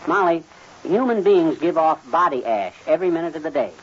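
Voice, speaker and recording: An actor playing a boastful, bumbling middle-aged American husband in 1950s radio comedy, with folksy, pompous phrasing. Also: male voice, on the high side, expressive, brisk, natural voice. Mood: chatty confidence. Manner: folksy, tongue-twisting wordplay, pompous phrasing. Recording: dull, noisy 1950s radio recording.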